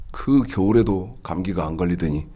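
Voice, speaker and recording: A man in his sixties preaching a Sunday sermon, his voice stuffy and nasal from a cold, rising high and emphatic as he drives his points home. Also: warm tone. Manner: rises to an emphatic raised pitch when preaching. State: congested with a cold. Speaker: pastor.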